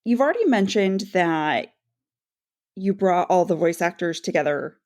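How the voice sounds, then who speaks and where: A woman's voice: fairly low pitch, expressive, measured pace, darkish tone. A woman co-hosting a podcast interview, talking conversationally as she comments and leads into questions. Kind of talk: conversational book-talk interviewing.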